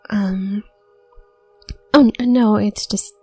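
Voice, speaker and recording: A woman playing a shy, anxious, apologetic young witch student in an audio roleplay, with a soft, breathy voice and a heavy nervous stutter. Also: medium pitch, steady tone, takes it slowly, no echo. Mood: nervous, hesitant. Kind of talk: intimate audio roleplay acting.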